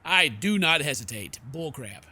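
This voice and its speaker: A man's voice: a little high, sing-song, normal speed, bright, forceful tone, slightly rough. A man streaming a game, reading narration aloud and breaking into playful, casual asides. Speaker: gaming streamer. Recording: game music behind the voice.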